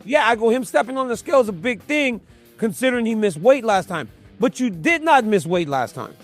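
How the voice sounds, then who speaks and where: A man's voice: sits high, big pitch swings, faint music behind. A man hosting an interview, asking long conversational questions. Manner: conversational, quick follow-up prompts. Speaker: interview host.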